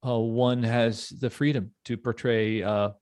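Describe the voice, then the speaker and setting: A man's voice: mid-range voice, little pitch movement, unhurried, darkish tone, clear, resonant tone, no room sound. Male podcast host, casual and effusive, mid-range voice in a dry recording. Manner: enthusiastic, effusive.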